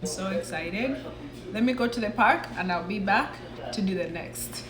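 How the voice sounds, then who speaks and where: A woman's voice: mid-range voice, expressive, normal speed. Chatty Ugandan-raised woman baking at home. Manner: chatty, thinks aloud while working. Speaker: baking vlogger. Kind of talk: casual kitchen vlog talk. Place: home kitchen. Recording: roomy kitchen with background noise.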